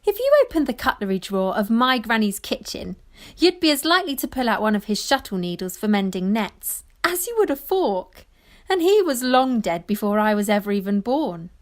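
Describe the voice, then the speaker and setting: Woman acting fishing family granddaughter, higher voice, fond lyrical storytelling, audio play monologue. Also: sing-song, moderate pace. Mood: nostalgic.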